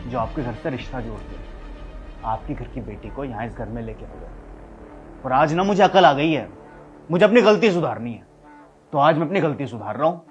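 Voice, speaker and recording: A man's voice: mid-range voice, darkish tone, highs cut off, lightly acted. A man playing an angry, domineering husband in a melodramatic family drama, speaking scornfully and insistently to his mother-in-law. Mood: bitter, defiant. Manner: scornful, confrontational, repeats demands insistently. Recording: dramatic music underneath.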